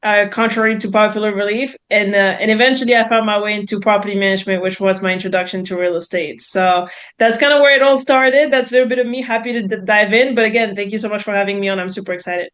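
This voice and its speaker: A woman in her thirties from France with a French accent, telling her story in a chatty, expressive way as an interview guest. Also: medium pitch, fast. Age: 30s. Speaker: podcast guest, real estate investor.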